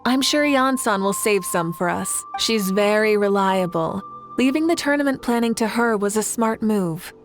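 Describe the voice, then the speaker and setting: An actress playing a confident, caring female leader who speaks in a calm, reassuring way. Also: mid-range voice, moderate pace, faint music behind, lightly acted.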